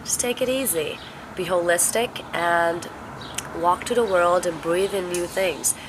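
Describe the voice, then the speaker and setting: A young woman vlogging casually at home, stuffed up and congested, talking in a slow, halting, tired way. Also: on the low side, background noise. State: congested, sniffly with a cold. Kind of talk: casual vlog chat. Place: home, indoors.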